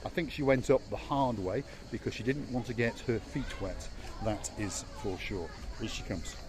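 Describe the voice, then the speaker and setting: A man's voice: on the low side, moderate pace. Chatty teasing man on a resort walk. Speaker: vlog host. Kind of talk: casual walking tour vlog. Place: outdoor holiday resort. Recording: faint outdoor background noise.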